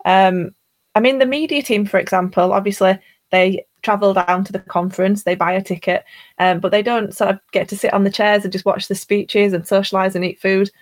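A woman co-hosts a casual livestream. Her voice sits at a middle pitch and she explains things in a conversational, informal manner. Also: steady tone, a little fast. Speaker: livestream co-host. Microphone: livestream call microphone.

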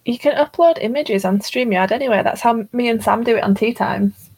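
A woman's voice: a little high, a little fast, darkish tone, slightly rough. Conversational woman co-hosting a livestream. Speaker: livestream co-host. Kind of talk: casual livestream chat. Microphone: livestream call microphone.

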